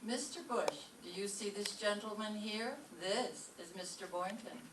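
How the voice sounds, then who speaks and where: A woman's voice: medium pitch, unhurried, a bit bright, natural voice. A woman playing a cheeky, wry schoolteacher in an old-time radio comedy. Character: cheeky, wry schoolteacher claiming her man. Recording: roomy, distant mic, clear background noise.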